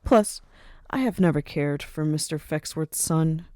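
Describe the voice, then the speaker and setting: Commanding mafia matriarch played by a woman: lowish voice, cultured, poised and formal, sly warmth, close dry sound. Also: animated, unhurried, no room sound. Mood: stern, imperious. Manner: poised, grandly formal, uses endearments like dearie. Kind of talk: scripted audio roleplay acting.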